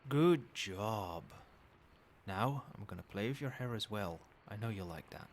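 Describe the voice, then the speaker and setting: A man playing a doting yet controlling, quietly menacing boyfriend in a soft-spoken ASMR roleplay, coaxing tenderly with pet names. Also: on the low side, measured pace. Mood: soothing, doting. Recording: steady background noise, night ambience. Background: rain and cricket sounds.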